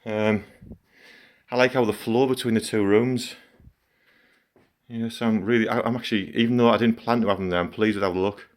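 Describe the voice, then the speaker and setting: A middle-aged man with a croaky voice from a cold, chatting casually in a home vlog as he works and comments on it. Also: on the low side, steady tone, fairly quick. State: hoarse from a cold. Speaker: gaming channel host.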